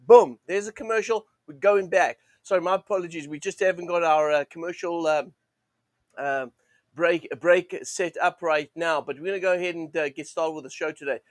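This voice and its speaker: A man with a high, croaky voice, strained from a sore throat, hosts a live market show. He rambles on through fillers and false starts, leaning on the same stock phrases. The sound is close and dry, with no room around it. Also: normal speed, dry recording. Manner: rambling, many fillers and restarts, "go ahead and". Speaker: host of a live forex trading show. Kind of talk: live-stream teaching monologue.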